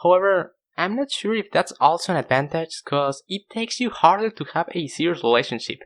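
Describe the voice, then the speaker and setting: A young man with as his language hosts a casual, comedic video. His voice sits on the high side for a man and swings widely in pitch as he pokes fun at himself. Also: fairly quick. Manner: self-deprecating, animated. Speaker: video host. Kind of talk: casual scripted comedic narration to viewers.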